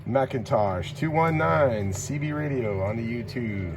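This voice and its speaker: A man's voice: medium pitch, animated, a little slow. Man calling out over heavy radio noise. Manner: clipped call-sign phrases, casual friendly slang. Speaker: CB radio operator and video host. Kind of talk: radio call-outs with casual commentary. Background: CB radio hiss and static.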